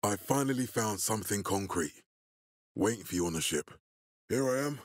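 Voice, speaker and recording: An actor playing a menacing middle-aged military leader in a video game, authoritative, curt and measured. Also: male voice, fairly low pitch, lively intonation, no echo, clean studio sound. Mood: stern, controlled. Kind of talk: dramatic video game voice acting.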